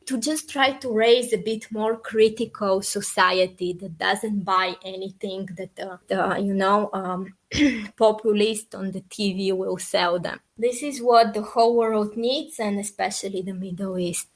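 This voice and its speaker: A woman with a Bulgarian accent speaks as an interview guest at a middling pitch. Her delivery is conversational and informal, and she often qualifies and rephrases her points while thinking aloud. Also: rough recording. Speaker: podcast guest, peace activist.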